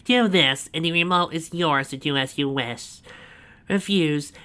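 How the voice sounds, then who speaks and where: A man voicing a scheming male villain who gloats and drawls his words out slowly. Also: fairly high pitch, pushed and bright, clear, resonant tone, voice pushed up. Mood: gloating.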